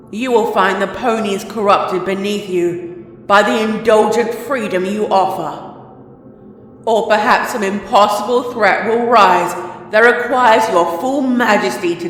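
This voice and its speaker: A woman voicing a fanatical female sun-monster that lives in a pony princess's heart, speaking in a slow, looming, scornful way through an echoing reverb effect. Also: mid-range voice, a bit bright, a little hiss or hum. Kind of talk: audio drama reading. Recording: echoey, long-reverb processed voice.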